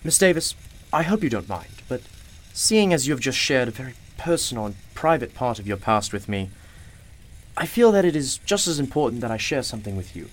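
An actor in a radio play voicing a mysterious, wise gentleman shopkeeper, formal, courteous and reassuring. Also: mid-range voice, very animated, brisk.

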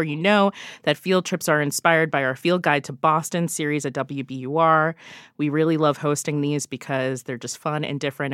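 A woman's voice: sits low, fairly bright tone, no echo, clean studio sound. A woman guest on a radio podcast, recommending events in an upbeat way and laughing often. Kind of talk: casual radio chat.

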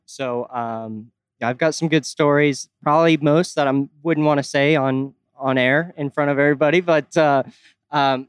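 A man with a smooth, clear voice at a middle pitch tells a story in a relaxed way, scattering fillers as he goes. The sound is dry and close, with little room in it. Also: dry recording. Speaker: race car driver, interview guest.

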